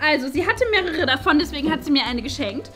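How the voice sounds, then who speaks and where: A very high, shrill old schoolmistress, haughty and indignant. Her pitch swoops widely as she scolds. Faint music sits behind the voice. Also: lively intonation, quick pace. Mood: smug. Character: haughty, scolding elderly female school teacher. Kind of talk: improvised comedy sketch acting. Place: small meeting room.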